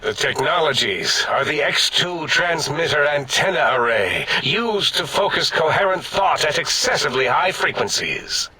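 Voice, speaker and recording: A man's voice: a little high, sing-song, normal speed, few pauses, bright, forceful tone, slightly rough, full character voice, rough recording. A man voicing a pompous, imperious robot scientist in a video game, declaiming in a grandiose, pedantic way. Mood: self-satisfied. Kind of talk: video game character acting.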